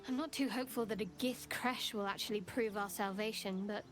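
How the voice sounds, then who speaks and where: An actress playing a secretive, sardonic young adventurer in a fantasy game, dry and evasive. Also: female voice, mid-range voice, fairly even intonation, a little fast, faint music behind, lightly acted. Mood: guarded, dry.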